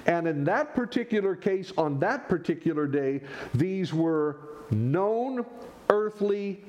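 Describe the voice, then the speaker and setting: A man preaching a sermon as pastor, teaching with rhetorical questions and animated swings in his delivery. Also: high voice.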